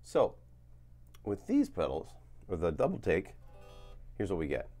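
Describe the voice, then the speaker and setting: A man in his forties, a guitar channel host, talking casually through a gear demo in a relaxed, rambling way with lots of fillers and a lingering cough. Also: low-pitched, a little slow. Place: home studio with guitars on wall.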